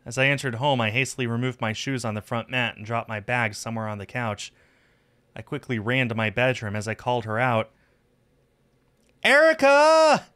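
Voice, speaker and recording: A man's voice: mid-range voice, steady tone, a little fast, sharp tone. Male streamer reading a visual novel aloud. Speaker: game streamer. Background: music.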